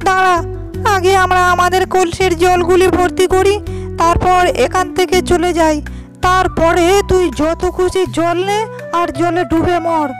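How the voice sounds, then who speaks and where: A woman voicing a scornful, bullying village woman in an animated storybook, speaking in a sneering, insulting manner. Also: very high-pitched, slow. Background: cartoon background music.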